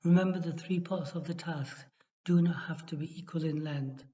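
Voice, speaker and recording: A man tutoring for an exam, reading a model essay aloud in a steady, measured way with small restarts. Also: on the high side. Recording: dull lo-fi recording.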